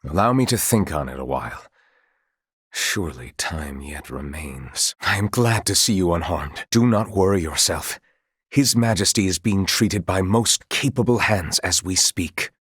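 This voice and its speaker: A man's voice: deep voice, animated, moderate pace, fairly bright tone, slightly rough, dry recording, studio quality, heavily acted. A man playing a composed, proud knight commander of royal blood in a video game, speaking in formal, archaic, courtly phrases. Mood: calm, formal.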